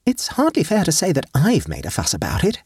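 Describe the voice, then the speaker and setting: A mischievous sixteen-year-old girl, as a male reader puts her on, airy and teasing, lifted far above his own register. Her husky voice swoops with lively, sing-song pitch. The audiobook sound is dry and close. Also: brisk, no room sound, exaggerated voice.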